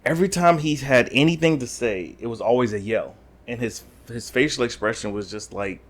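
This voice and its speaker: A man's voice: mid-range voice, a little fast. A man talking on a podcast in casual chat, blunt in his opinions and stumbling over restarts.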